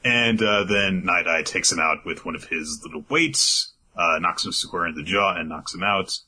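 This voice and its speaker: A man's voice: sits low, moderate pace, pushed and bright, slight background noise. A man co-hosting a podcast, recapping anime episodes in loose, rambling chat full of filler words. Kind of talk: casual chat.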